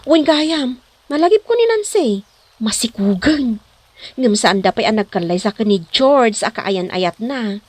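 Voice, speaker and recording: A woman's voice: a little high, lively intonation, a little slow, darkish tone, no echo. A woman playing a practical, frank young snack vendor in an Ilocano comedy drama, speaking with an Ilocano accent. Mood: concerned.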